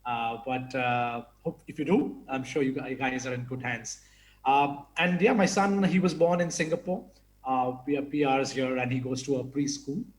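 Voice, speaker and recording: A man's voice: mid-range voice, moderate pace, echoey room, a little hiss or hum, low-quality recording. A man from India speaking as a panelist in an online forum, talking casually with many fillers. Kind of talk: online panel talk with slides. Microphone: video call.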